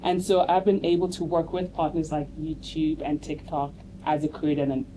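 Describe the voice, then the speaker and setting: A woman from Nigeria introducing herself on a panel as a content creator, telling her story in a relaxed, personal way. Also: low voice, moderate pace, low-quality recording. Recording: clear background noise on a live panel mic.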